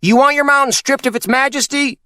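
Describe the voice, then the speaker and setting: A man's voice: very high voice, fairly quick, sharp tone, no room sound, clean studio sound, pitched above the performer's own voice. A man voicing a shrewd, bossy older uncle from who orders people around. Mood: commanding. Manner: commanding, scolding.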